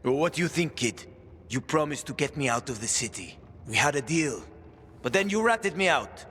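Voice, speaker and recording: A man's voice: fairly high pitch, animated, moderate pace, fairly bright tone, slightly rough. An actor playing a cynical, bitter man cornered in a zombie apocalypse, curt and sardonic, in a video game cutscene. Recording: music under the voice.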